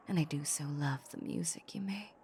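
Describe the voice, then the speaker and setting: A woman playing a seductive, possessive female vampire in an intimate roleplay audio drama, teasing and condescending in a breathy half-whisper. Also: low-pitched, slight background noise. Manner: slow, teasing, condescending purr. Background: soft ambient sound effects.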